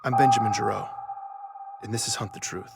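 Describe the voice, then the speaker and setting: An actor playing a nervous investigative journalist in a scripted first-person audio drama. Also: male voice, lowish voice, fairly even intonation, creaky, loud music behind the voice. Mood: measured, uneasy. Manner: measured narration, hesitant in dialogue. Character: nervous male investigative journalist narrating his own story. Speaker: narrator.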